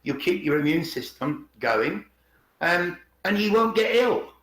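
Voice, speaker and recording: A man's voice: sits high, animated, unhurried, a bit bright. Middle-aged man, opinionated casual talk, emphatic rephrasing, roomy echoey online call.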